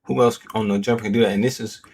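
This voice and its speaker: A man with a fairly low voice, talking loose and slangy as he reacts to a clip. He leans on fillers, and faint background noise sits under him. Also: steady tone, very fast, a little mellow. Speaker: host. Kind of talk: casual reaction commentary.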